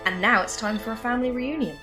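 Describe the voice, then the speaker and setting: A woman playing a quick-witted, flippant and determined time-travelling adventurer in an audio drama. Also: on the high side, music in the background, close to an everyday voice. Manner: wry, flippant, teasing.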